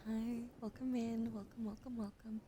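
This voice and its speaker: A woman streamer giving a gentle tarot reading, often dropping into a whisper while she greets chat. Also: fairly high pitch, little pitch movement, normal speed, noisy background.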